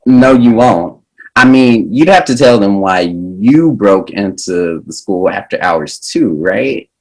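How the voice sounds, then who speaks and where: A man playing a cocky teenage boy, slow and animated. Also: on the low side, full character voice, poor audio. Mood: smug, teasing. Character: Junior, cocky mischievous smirking teenage boy. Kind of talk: table read of a pilot script.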